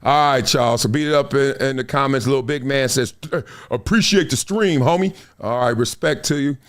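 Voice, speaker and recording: A middle-aged man hosting a casual livestream, reading viewer comments and news aloud in a playful, animated way. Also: medium pitch, moderate pace, clear, resonant tone. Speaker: show host. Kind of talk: casual live-stream commentary.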